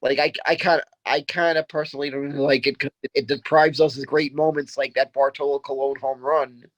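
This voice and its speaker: A man's voice: medium pitch, flat delivery, a little fast, no echo, rough recording. A man in his thirties co-hosting a sports podcast, talking casually and often repeating himself as he makes a point. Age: 30s.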